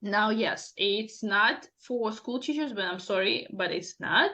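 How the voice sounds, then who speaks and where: A woman's voice: mid-range voice, lively intonation, measured pace, sharp tone. A woman whose first language is Russian, co-hosting a casual podcast as an English teacher, chatty and self-deprecating, leaning on "like" a lot.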